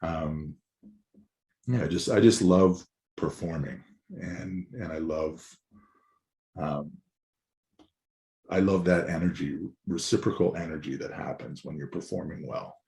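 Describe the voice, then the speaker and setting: Man, forties, raspy, hoarse, very deep, gravelly, loose rambling chat. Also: unhurried, pauses often, mellow. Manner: rambling, loose, swears casually. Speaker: musician guest. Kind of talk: casual chat.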